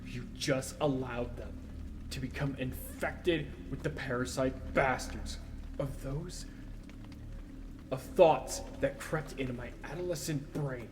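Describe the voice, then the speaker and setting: A man playing a wounded, bitter son confronting his mother in an audio drama, accusing and emphatic in a halting way. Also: medium pitch, natural voice. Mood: bitter, resentful. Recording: faint background noise.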